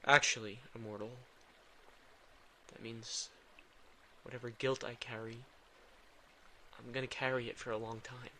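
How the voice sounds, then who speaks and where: A man's voice: medium pitch, little pitch movement, measured pace, pauses often, pushed and bright, a little hiss or hum. A man playing a guilt-ridden, protective male vampire in an intimate audio drama, with dry sarcasm and a weary, casual delivery. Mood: guilty, somber.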